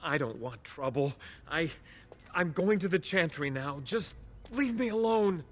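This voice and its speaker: Nervous, high-voiced evasive man. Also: expressive, measured pace. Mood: frightened, pleading. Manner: defensive, stammering, pleading. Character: nervous, evasive man working as a hired spy. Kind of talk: video game voice acting.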